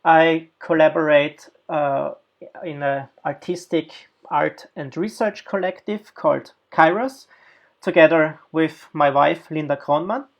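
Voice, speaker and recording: A man from Austria, a designer and researcher, giving an academic guest lecture with halting speech and many fillers. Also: a little high, creaky, dull audio.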